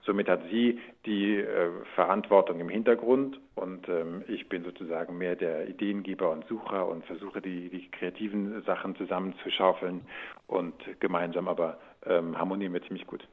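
A man's voice: deep voice, fairly even intonation, moderate pace, husky. A man giving casual interview answers in a searching way, often restarting and rephrasing. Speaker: audio drama producer and voice-over speaker. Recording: thin phone-like line.

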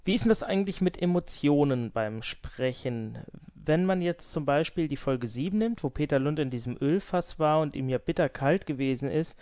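Higher-pitched man hosting a podcast. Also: a little mellow, muffled recording. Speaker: podcast host, interviewer. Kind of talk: podcast interviewing and announcing.

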